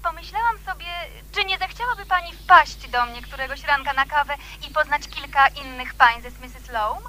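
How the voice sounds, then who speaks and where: An actress playing a chatty, gushingly polite suburban neighbour over a telephone in a radio drama. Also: female voice, high-pitched, moderate pace, pushed and bright. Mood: friendly, gushing. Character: chatty, sociable suburban neighbour lady phoning a newcomer. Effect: telephone filter.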